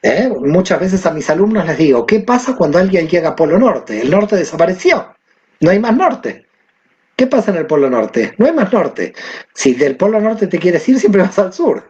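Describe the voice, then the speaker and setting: A man's voice: high voice, very animated, normal speed, darkish tone, lo-fi sound. A man from Argentina, a guest who teaches, explaining ideas in conversation and asking rhetorical questions. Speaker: guest, Kabbalah teacher and author.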